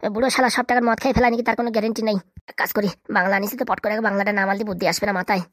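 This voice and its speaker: A man acts out a troubled village husband in a comedy cartoon, full of regret. The voice is high and races along in a dry recording. He grumbles, rambles and scolds himself like a drinker with too many worries. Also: fairly even intonation, very fast, fairly bright tone, dry recording. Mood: worried, grumbling. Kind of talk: comedy cartoon dialect acting.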